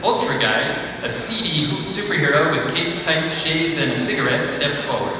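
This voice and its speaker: A man's voice: fairly high pitch, moderate pace, a crowd in the background. A man reading out stage directions as narrator of a live table read. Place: large indoor convention ballroom. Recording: echoey, noisy convention ballroom from far off.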